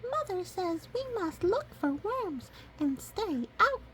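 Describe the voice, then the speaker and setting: A tiny, squeaky-high baby chick. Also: female voice, very animated, slow pace, fairly bright tone, a little hiss or hum, heavily acted. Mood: dutiful. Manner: chirping, sing-song. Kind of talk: storybook character voice.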